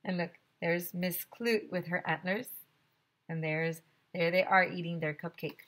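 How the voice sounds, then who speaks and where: A woman's voice: on the low side, steady tone, a little slow, a bit bright. A woman teacher reading a children's story aloud expressively, with chatty asides to young listeners.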